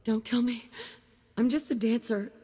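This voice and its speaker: A woman's voice: on the high side, a little slow, mellow, husky, long reverb tail, soft background music. A woman playing an uneasy, frank young dancer in a video game, a little hesitant. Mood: nervous.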